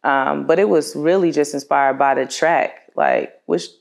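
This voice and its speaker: Woman songwriter chatting in an interview, deep voice w lots of fry, super informal storytelling. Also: a little slow. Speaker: singer-songwriter telling the story behind a song.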